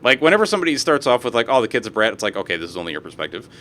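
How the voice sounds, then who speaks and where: A man's voice: medium pitch, big pitch swings, very fast, fairly bright tone, a little hiss or hum. A young man streaming live, reading posts aloud and ranting about them with plenty of swearing. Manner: profane, ranting, reads posts aloud with asides.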